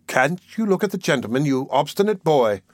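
A man voicing a two-faced woman who keeps pauper children in a storybook reading, both obsequious and sharp-tongued. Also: a little high, very animated, fairly quick, dry recording, studio quality, pitched above the performer's own voice. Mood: scolding. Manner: obsequious to superiors, scolding to children. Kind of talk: audiobook character acting.

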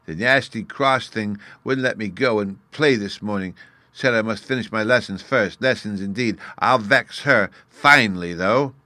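A man reading a storybook aloud, voicing a small, mischievous and sulky boy fairy who lisps "oo" for "you" and talks in a petulant, lively way. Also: mid-range voice, unhurried, no echo, voice pushed up. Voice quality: childish lisp, says "oo" for you.

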